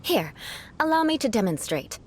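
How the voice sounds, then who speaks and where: A woman's voice: fairly high pitch, very animated, moderate pace, slight background noise. A woman playing a proud, composed young aristocratic knight in a video game, formal and precise in her speech. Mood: instructive, haughty. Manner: haughty, formal, precise diction. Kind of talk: video game character dialogue.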